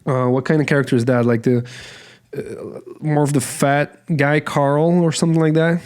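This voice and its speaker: A man's voice: fairly high pitch, fairly even intonation, normal speed, a little mellow, clear, resonant tone. A man hosting a casual solo podcast, rambling in a self-deprecating manner. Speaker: podcast host.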